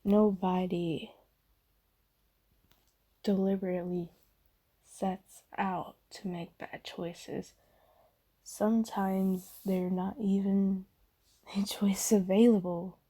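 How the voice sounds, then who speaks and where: Woman voicing a very slow, dark-toned, breathy caring demon. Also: medium pitch, flat delivery. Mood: soothing, understanding. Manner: slow, hushed, soothing and reassuring, often slipping into whispers. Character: gentle, caring female sleep paralysis demon comforting someone. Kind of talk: soft ASMR roleplay monologue.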